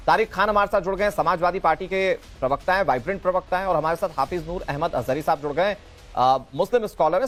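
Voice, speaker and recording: Male news anchor, high-pitched, presenting and moderating over faint music.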